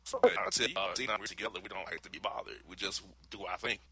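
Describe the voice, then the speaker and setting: Fast-talking, bright-voiced male radio host. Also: sits low, breathy edge. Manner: rapid, loose and rambling, with laughing asides. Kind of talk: casual radio banter.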